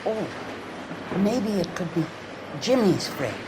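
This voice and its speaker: Over loud ambient noise, a sassy attendant drawls slowly in a fairly low voice. She is teasing and flirtatious, with a melodic swing to her lines in a period game. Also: sing-song. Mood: casual, recollecting. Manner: teasing, unhurried. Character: sassy, flirtatious 1940s bowling alley attendant woman. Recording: very noisy bowling alley ambience.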